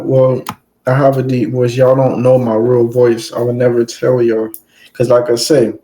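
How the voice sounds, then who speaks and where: A man's voice: medium pitch, warm tone, poor audio. A streamer, reading a story aloud and breaking off for loose, joking asides to his chat. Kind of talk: casual commentary between read passages.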